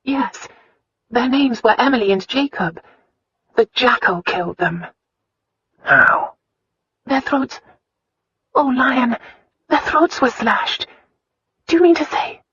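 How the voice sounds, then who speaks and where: A woman voicing an anxious, loving young wife in a pleading, emotional, breathy tone. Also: high voice, animated, takes it slowly, pauses often, fairly bright tone, no echo, voice pushed up, heavily acted. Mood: earnest. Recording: dull, dry audiobook recording.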